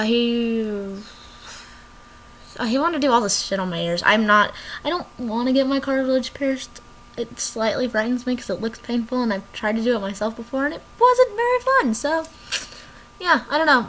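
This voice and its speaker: A young woman chatting to her camera from her bedroom, with a bright, sharp voice that sits a little high. She rambles from one thing to the next and drifts off topic, and she keeps her tone loose and casual. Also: a little hiss or hum. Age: young adult. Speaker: vlogger.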